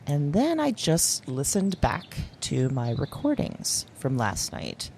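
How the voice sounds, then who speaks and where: A woman playing an uneasy, fascinated dreamer who haltingly confides in an audio diary for an audio drama. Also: sits low, huge pitch swings, creaky, a little mellow, slight background noise, exaggerated voice. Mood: absorbed, wondering. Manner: halting, searching, hesitant with pauses and sighs.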